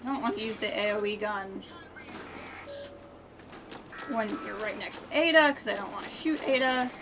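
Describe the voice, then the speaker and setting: A woman's voice: medium pitch, normal speed, music in the background. A woman giving casual, chatty live gameplay commentary, thinking aloud and talking to viewers as she plays. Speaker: game streamer.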